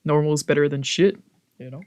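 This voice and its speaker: A casual game streamer with a mid-pitched voice. They read story text aloud and break off into loose, sweary commentary and exclaimed reactions. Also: brisk, a little mellow. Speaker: streamer doing a let's play.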